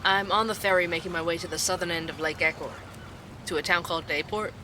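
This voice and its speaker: A man's voice: high voice, fairly even intonation, fast talker, background noise, lightly acted. An actor playing a curious male investigative podcaster, who narrates and probes with questions. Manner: inquisitive, probing.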